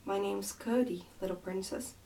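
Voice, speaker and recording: A woman's voice: mid-range voice, normal speed, creaky, dark tone, recorded from a distance, slight background noise, lightly acted, rough recording. A woman voicing a plain-spoken, confident young miner boy in a storybook, frank and helpful. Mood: friendly. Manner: frank, matter-of-fact.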